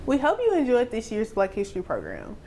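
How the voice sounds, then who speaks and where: A woman's voice: on the high side, wildly swooping pitch, a little fast, over music. A woman reading scripted narration as the host of a program. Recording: clear background noise.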